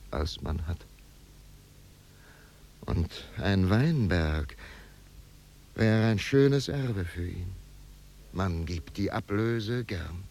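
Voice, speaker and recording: Slow, gravelly, wistful older man. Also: deep voice, darkish tone, full character voice. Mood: tender, wistful. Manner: halting, poetic, dropping to whispers. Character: wistful, brooding older man longing for a son. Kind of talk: 1950s German radio drama acting. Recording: noisy old radio recording.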